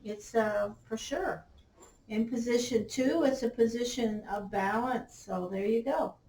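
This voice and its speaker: Casting: tarot reader, woman, raised pitch, slightly hoarse, halting with fillers, roomy sound off the mic. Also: darkish tone, recorded from a distance, slight background noise. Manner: slow, halting, many fillers. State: slightly losing her voice. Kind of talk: unhurried tarot reading. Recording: roomy, off-mic, faint ambience.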